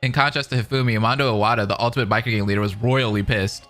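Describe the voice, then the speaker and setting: Bright-voiced excitable male commentator. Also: on the low side, rapid-fire, soft background music. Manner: excitable, expressive. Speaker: reaction commentator. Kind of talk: reading narration aloud with casual reactions.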